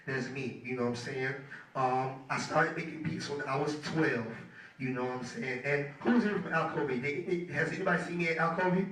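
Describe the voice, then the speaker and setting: Hoarse young man talking to a crowd. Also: on the high side, a bit bright. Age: 20s. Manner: loose and chatty, keeps asking the crowd "you feel me". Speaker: guest speaker, music producer. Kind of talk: casual motivational talk to an audience. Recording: echoey hall, mic at a distance.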